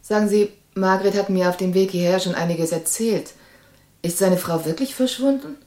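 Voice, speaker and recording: A woman's voice: mid-range voice, normal speed, darkish tone, lightly acted. An actress playing a worried, insistent woman searching for her partner in an audio drama. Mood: worried, polite. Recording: echoey, roomy sound.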